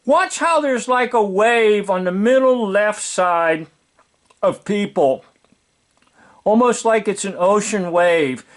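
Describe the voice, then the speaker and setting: Older American man, informal opinionated commentator. Sardonic, rhetorical, unhurried delivery, slightly high register. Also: animated.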